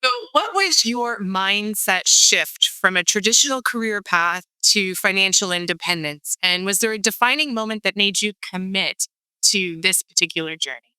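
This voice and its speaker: A woman hosting a retirement podcast, reading a lively intro and then asking questions in a loose, conversational way. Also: mid-range voice, moderate pace, smooth, no echo, poor audio. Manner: upbeat, reads intro then chats loosely. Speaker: podcast host. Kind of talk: podcast interview, scripted intro.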